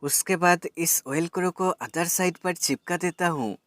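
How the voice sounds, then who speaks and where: A man's voice: a little high, unhurried, fairly bright tone, faint music behind. A man presenting a tech product demonstration, explaining step by step in an instructional way and addressing viewers as friends. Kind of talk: product demonstration and how-to voice-over.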